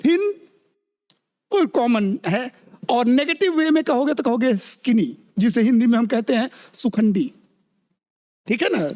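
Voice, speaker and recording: A man's voice: very high voice, expressive, a little mellow, in a large hall. A man teaching spoken English in Hindi, drawing out his words and constantly asking the class whether they have understood. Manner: slow, drawn-out words, constantly checks understanding with questions.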